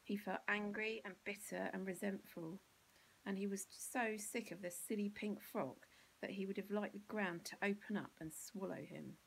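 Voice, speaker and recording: A woman's voice: medium pitch, fairly even intonation, moderate pace, gravelly, husky, poor audio. A woman with an English accent reading a children's storybook expressively, as a teacher would to pupils.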